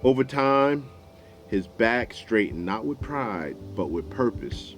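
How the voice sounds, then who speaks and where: An American man hosting and telling a story in a deliberate, folksy, dramatic way. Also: mid-range voice, sing-song. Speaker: storyteller, show host. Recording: music behind the voice.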